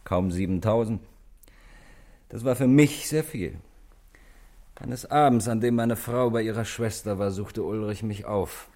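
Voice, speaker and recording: An actor playing a calm, weary man confessing to murder in a 1960s German radio drama, measured and wryly resigned. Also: on the low side.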